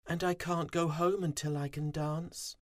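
A man's voice: fairly high pitch, little pitch movement, a little slow, dry recording, clean studio sound, pitched above the performer's own voice, close to an everyday voice. Man doing a sad little bee. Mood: mournful.

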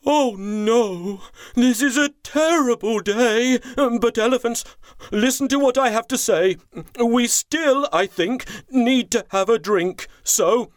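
Storybook chief elephant, high and loud, bossy and excited, dry close sound, as a woman puts him on. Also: slow pace, no room sound. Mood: urgent. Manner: loud and exclaiming.

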